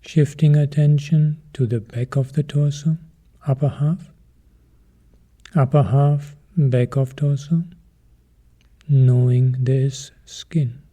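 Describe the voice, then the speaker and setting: A man leading a guided meditation as a teacher, calm and measured, in short phrases with pauses between them. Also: mid-range voice.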